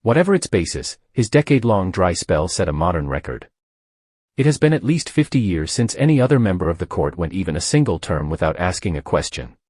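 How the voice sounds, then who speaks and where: A man with a low, husky voice narrates a news story in a scripted read, his intonation rising and falling with a lot of movement. The sound is close and dry, with no room around it. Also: big pitch swings, brisk, darkish tone, no echo.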